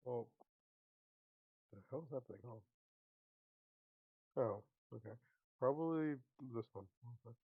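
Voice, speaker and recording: A man's voice: medium pitch, animated, takes it slowly, pauses often, breathy edge, no room sound. A man playing a tabletop game, chatting casually and a little defensively, with some swearing, over a narrow tinny line.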